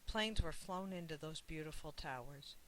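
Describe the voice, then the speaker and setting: An author narrates a children's picture book in a measured read-aloud voice of medium pitch. Key words get expressive emphasis. A light hiss runs through the recording. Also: low-quality recording. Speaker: author reading own book.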